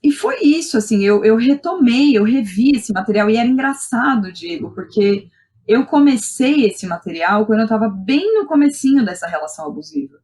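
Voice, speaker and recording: A young Brazilian woman speaking as a podcast guest, telling personal stories in an animated, colloquial way and often checking in with the listener. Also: on the high side, normal speed. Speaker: guest, playwright and theatre director. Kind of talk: casual personal storytelling in a podcast interview.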